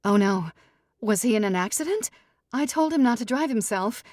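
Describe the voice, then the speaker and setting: A frantic young bride who has been left at the altar, voiced by a woman narrator. Her voice rides high and breathless, pleading and stubborn. It is audiobook comedy dialogue in a very dry recording. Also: animated, moderate pace, a little mellow, no echo. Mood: panicked, rambling.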